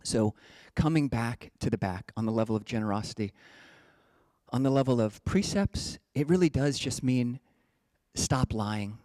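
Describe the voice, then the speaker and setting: A man's voice: mid-range voice, normal speed, a little mellow, dry recording. A man from the United States, a Buddhist monk giving a casual, playful dharma talk. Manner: playful, chatty, breaks into joking slang voices.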